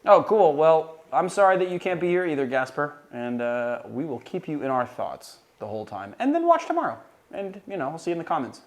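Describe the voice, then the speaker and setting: A man with a loud, resonant voice hosting a cocktail livestream, chatting casually and answering viewer questions. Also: a little high, big pitch swings, a little fast. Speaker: cocktail channel host.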